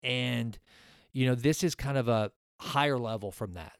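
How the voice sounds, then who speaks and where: A man in his forties hosts a solo podcast and talks in an earnest, rambling way, often circling back and restarting his sentences. His voice is smooth and clear. It sits on a close mic in a dry, dead room. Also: medium pitch, moderate pace, dry recording. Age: 40s. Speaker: podcast host. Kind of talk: casual solo podcast talk with a sponsor read.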